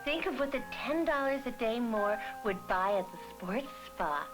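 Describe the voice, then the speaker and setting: An actress playing a sweet, coaxing wife, affectionate and persuasive, in a 1970s educational film. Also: female voice, on the high side, lively intonation, moderate pace, close to an everyday voice. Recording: 1970s film soundtrack with music.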